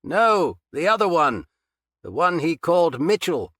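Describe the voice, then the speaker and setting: A man's voice: a little high, sing-song, measured pace, fairly bright tone, no echo, voice pushed up, heavily acted. A man voicing a pompous male villain who taunts with grand, raised, self-satisfied speech. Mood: bitter. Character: menacing, pompous male villain, gloating and cold.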